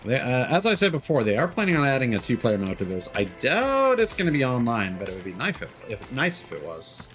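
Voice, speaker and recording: A man's voice: mid-range voice, wildly swooping pitch, a little fast, few pauses, fairly bright tone, faint music behind. A man giving casual livestream commentary on a video game, rambling and thinking aloud.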